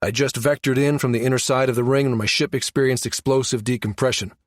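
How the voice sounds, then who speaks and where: A man voicing an injured navy pilot captain in an audiobook, blunt, steady and matter-of-fact. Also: mid-range voice, quick pace, no echo, studio quality, close to an everyday voice.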